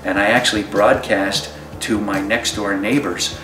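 A man's voice: lowish voice, fairly even intonation, unhurried. A middle-aged man from New York State with an American accent, reminiscing in an interview. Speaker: radio company president, interviewee. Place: indoors, office. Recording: roomy, distant mic, music behind.

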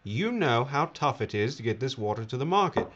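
A smug, self-important male tycoon, played by an actor, sells himself in a film interview. His pompous delivery moves up and down in a lively way, and the audio is slightly dull. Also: medium pitch, a little fast. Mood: pompous, salesman-like. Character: smug, slick, self-important male billionaire businessman pitching glacier water. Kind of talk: film dialogue, mock interview.

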